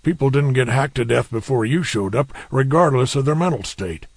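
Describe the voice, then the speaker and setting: A man's voice: mid-range voice, brisk, dry recording, voice pushed up, natural voice. A man voicing a stern older townsman in an audiobook, speaking in a grave, defensive tone.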